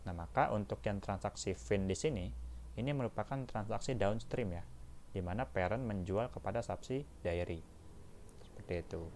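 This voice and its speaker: An Indonesian man with a fairly low voice teaches in an informal, step-by-step way. He keeps checking in with his listeners as he explains. There is faint noise and room ambience behind him. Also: normal speed, a little mellow. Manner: addresses listeners as friends, frequent checking tags. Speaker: accounting lab instructor. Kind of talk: tutorial walkthrough, informal teaching.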